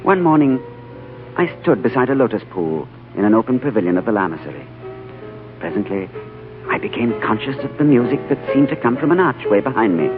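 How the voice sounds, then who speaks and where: A man plays an urbane, composed Englishman in a vintage radio play. His mid-pitched voice is widely inflected. The broadcast is muffled, with music clearly behind it. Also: sing-song, a little fast, lightly acted. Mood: reflective. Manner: urbane, polished, courteous. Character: cultured, composed, shrewd British diplomat narrator. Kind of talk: old-time radio drama acting and narration.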